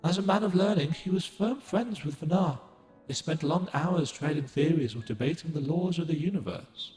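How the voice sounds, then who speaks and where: An ancient moon guardian spirit from a game speaks in formal, old-fashioned phrases, gentle and measured, as a man voices him. His voice sits slightly high for a man. A long, roomy echo trails every line. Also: soft background music, natural voice. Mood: solemn. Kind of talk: game cutscene dialogue.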